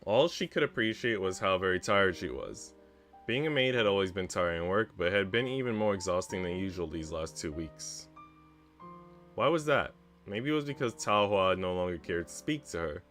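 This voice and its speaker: A man's voice: low voice, lively intonation, moderate pace, a bit bright. A man streaming himself reading a visual novel aloud, narrating like a storybook and dropping into casual chat. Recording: music behind the voice. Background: background music.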